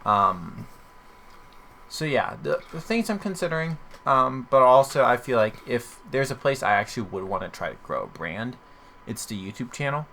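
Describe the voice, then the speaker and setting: A man chats casually as a podcast host over faint background noise. His voice is bright and forward, with a heavy fry running through it. He rambles and questions his own points as he goes. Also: medium pitch, sing-song, normal speed.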